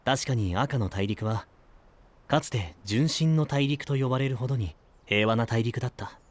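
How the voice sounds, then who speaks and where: A man playing a calm, gently serious young knight in an anime-style voice drama, measured and sincere. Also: mid-range voice, natural voice. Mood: grave.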